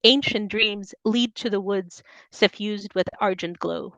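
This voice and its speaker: A woman's voice: mid-range voice, unhurried, fairly bright tone, no room sound. Woman poet with dry, close sound. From Soviet Ukraine. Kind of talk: poetry reading with informal introductions.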